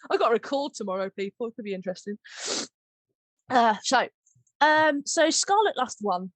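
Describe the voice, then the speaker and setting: A woman's voice: a little high, expressive, moderate pace, no echo. A woman hosting a casual, jokey group chat about audio drama, a little short of breath as she talks. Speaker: podcast host and audio drama writer.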